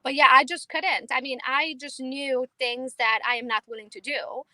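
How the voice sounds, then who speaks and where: A woman from Romania speaks with a Romanian accent, in a quick, emphatic, confident way. Her voice sits a little high and sounds bright and forceful. The recording is dry, with no room sound. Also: steady tone, no room sound. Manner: confident, fast, emphatic, laughs easily. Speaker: realtor guest. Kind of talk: casual interview chat.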